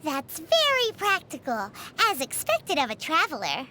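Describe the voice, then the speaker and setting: Squeaky, very high little fairy companion. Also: female voice, wildly swooping pitch, a little slow, bright, forceful tone, slight background noise, exaggerated voice. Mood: cheerful. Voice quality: squeaky, childlike. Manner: perky, refers to self in third person. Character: tiny chirpy floating fairy companion, childlike, eager and easily miffed. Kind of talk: video game voice acting.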